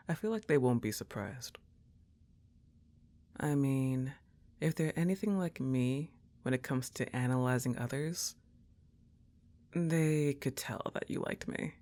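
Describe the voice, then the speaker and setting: Slow, intimate goth roommate played by a woman. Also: a bass voice. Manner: unhurried, soft-spoken, often slipping into whispers. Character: laid-back, teasing young goth woman, affectionate roommate. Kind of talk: intimate ASMR roleplay.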